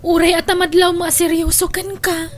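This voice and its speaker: A woman's voice: very high-pitched, little pitch movement, normal speed. A woman playing a frank, teasing young best friend in an audio drama, chatty and amused.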